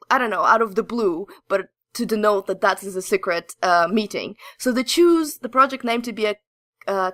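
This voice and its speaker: A woman co-hosting a casual geeky podcast, telling stories in an excitable, giggly, gushing way. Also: fairly high pitch, no echo. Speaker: podcast co-host. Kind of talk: casual geeky chat, animated storytelling.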